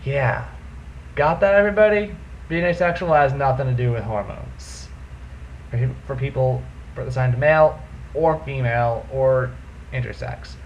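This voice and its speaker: A young man with a deep voice talks to the camera in a casual vlog. He is animated, his pitch leaping up and down to stress his points. The recording sounds a little muffled, with a faint hiss. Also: measured pace. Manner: animated, swings pitch up and down for emphasis. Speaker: vlogger host. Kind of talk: casual talking-head vlog.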